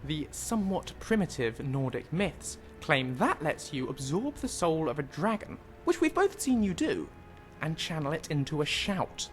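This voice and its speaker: A man voicing an eager, skeptical scholar in a fantasy game, explaining things in an animated, didactic way. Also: a little high. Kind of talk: fantasy video game dialogue.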